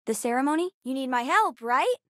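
A woman voicing a bright, bookish, earnest young girl in a video game, chatty and eager in her delivery. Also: sits high, expressive, a little fast, no room sound, clean studio sound. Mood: curious, chatty. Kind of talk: scripted video game voice lines.

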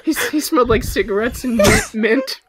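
A man in his twenties in improvised comedy banter, excitable and jokey. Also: very high voice, moderate pace, slight background noise. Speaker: improv podcast performer. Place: room at home, indoors.

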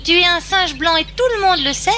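A woman's voice: very high voice, a little fast. A woman voicing a cautious, loyal young monkey in a cartoon, childlike and worried. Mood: worried, cautioning. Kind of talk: cartoon dubbing. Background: loud background music.

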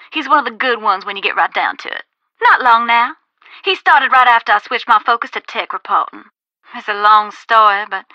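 An actress playing a folksy, cautious former ghost hunter in a video game, with a Southern US accent and a drawling, cautionary manner. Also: female voice, a little high, bright, forceful tone, no room sound, muffled recording. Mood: guarded. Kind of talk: video game dialogue, conversational phone-call acting.

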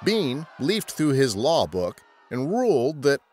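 A man's voice: mid-range voice, sing-song, slow pace, darkish tone, a little hiss or hum. A man narrating a scripted history story as a podcast storyteller.

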